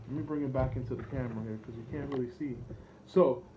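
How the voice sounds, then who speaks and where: A man's voice: medium pitch, steady tone, fast. A man thinking aloud through an unscripted product demo, hesitant, rambling and unsure of himself. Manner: hesitant, rambling, self-doubting. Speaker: online seller demonstrating vintage electronics. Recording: dull lo-fi camera audio with background noise.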